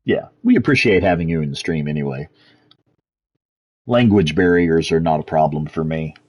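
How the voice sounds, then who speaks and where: A man's voice: very deep voice, expressive, moderate pace, darkish tone. A man with a Texas accent giving laid-back, chatty live commentary over gameplay as a streamer, answering chat and swearing casually. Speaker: gaming streamer.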